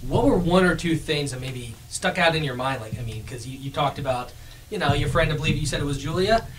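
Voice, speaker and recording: A man's voice: fairly high pitch, a little fast, a little hiss or hum. A man hosting a casual radio interview in an enthusiastic, chatty way.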